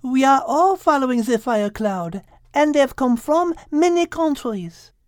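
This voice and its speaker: Man doing a high, unhurried, gracious French lady cat. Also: lively intonation, smooth, dry recording, clean studio sound. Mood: warm, curious.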